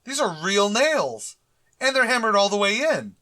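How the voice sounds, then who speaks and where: A man plays a mild and naive male teacher in a radio comedy. He is polite and earnest, with a slightly high, bright voice. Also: very animated, normal speed, full character voice. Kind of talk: old-time radio sitcom acting.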